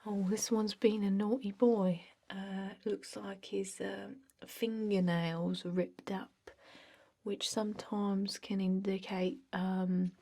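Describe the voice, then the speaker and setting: A woman playing a chatty energy vampire office clerk in an ASMR roleplay, speaking softly and often whispering, with a hesitant, rambling, drily jokey manner. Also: mid-range voice, slow pace. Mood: drily amused, then matter-of-fact. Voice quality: soft, breathy, often whispered. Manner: hesitant, rambling, deadpan workplace small talk.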